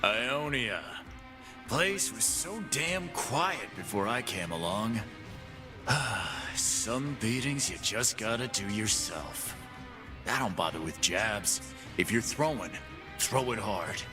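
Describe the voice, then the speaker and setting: An actor voicing a cocky, brawling male fight-pit boss in game voice lines, full of gruff, boastful trash talk, with game music behind. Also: lowish voice, lively intonation, slow pace.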